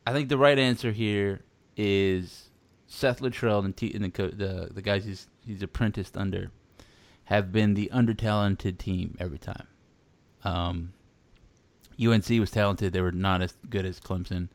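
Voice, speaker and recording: A man with a somewhat low voice talks college football in a loose, rambling way, circling back with restarts and fillers. He grew up in Texas and chats casually with a friend. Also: fairly even intonation, moderate pace, no room sound. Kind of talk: casual sports chat.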